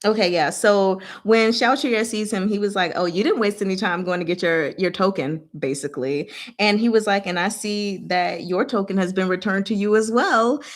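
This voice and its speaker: A woman's voice: mid-range voice, moderate pace. A woman casually recapping a novel in a book discussion, with a chatty, playful, giggly manner and paraphrased dialogue acted out in slang.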